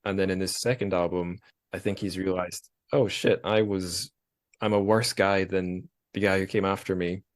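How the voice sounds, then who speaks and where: A man's voice: deep voice, level tone, normal speed. A man in his thirties hosting a casual podcast, talking in an informal, reflective way with some swearing. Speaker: podcast host.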